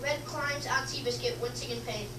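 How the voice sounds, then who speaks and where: Young girl reading play narration, high voice, far from mic, noisy echoey room. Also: steady tone, moderate pace. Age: child or young teenager. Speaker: narrator in a student readers theater. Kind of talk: scripted narration read aloud.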